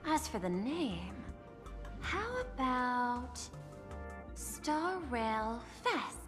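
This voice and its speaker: A classy calculating businesswoman from a game, kinda high voice, very formal, big reverb and music. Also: big pitch swings, exaggerated voice. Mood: courteous, persuasive. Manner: polished, formal, measured. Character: poised, persuasive corporate executive woman, courteous and calculating. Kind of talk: video game voice acting.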